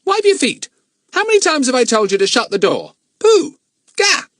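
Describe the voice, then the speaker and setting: Kiki, a screechy parrot. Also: male voice, extremely high pitch, sing-song, normal speed, fairly bright tone, dry recording, clean studio sound, voice pushed up, full character voice. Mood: squawking. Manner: squawking, bossy mimicry. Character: cheeky shrill-mouthed pet parrot.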